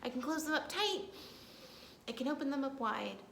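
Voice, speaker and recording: A woman's voice: high-pitched, animated, moderate pace, vocal fry, fairly bright tone. A woman leading a children's storytime as a librarian, playfully reciting rhymes and action songs in a sing-song way, talking directly to young kids. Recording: echoey room with faint background noise.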